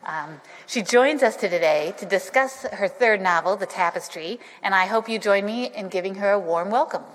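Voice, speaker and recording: A woman's voice: medium pitch, moderate pace. Woman host reading an intro in a hall. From Michigan. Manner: reads prepared intro with hesitant fillers. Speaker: event host. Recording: long hall reverb.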